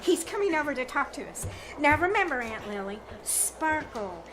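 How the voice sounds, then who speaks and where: A woman's voice: high voice, very animated, moderate pace. A woman playing an eager, bossy teenage niece in an old-time radio comedy, emphatic and coaxing. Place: small theater stage, live performance. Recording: very noisy live stage recording.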